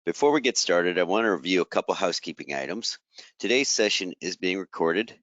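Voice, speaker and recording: A man moderating a webinar, reading the housekeeping notes and a speaker introduction smoothly from a script. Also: sits low, animated, fairly quick, a bit bright, no echo. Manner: reads smoothly from a prepared script. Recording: dry, slightly dull webinar audio.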